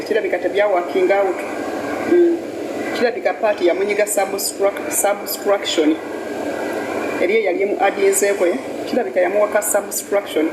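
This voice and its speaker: Sex: woman. Role: gossip news host. Style: chatty, opinionated commentary. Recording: echoey room, loud background noise. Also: mid-range voice. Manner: chatty, opinionated, hums and laughs between points.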